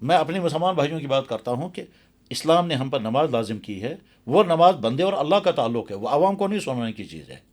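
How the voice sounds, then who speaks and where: Guy cleric doing a religious explainer, bit higher pitched, earnest and emphatic, repeats himself for stress. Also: animated, brisk, a little mellow. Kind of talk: explanatory religious talk in an interview.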